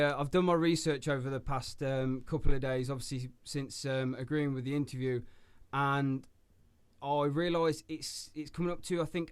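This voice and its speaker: English host man, smooth voice, halting fan questions. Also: on the high side. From England. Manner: hesitant, fan-like, many restarts. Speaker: radio host. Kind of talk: casual interview.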